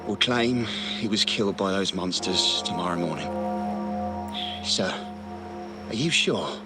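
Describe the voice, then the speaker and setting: A man's voice: deep voice, measured pace, loud music behind the voice. A man playing an uneasy, conflicted henchman of a crime family in a game, with a tense, doubtful manner. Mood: uneasy, deferential. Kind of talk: dramatic game voice acting.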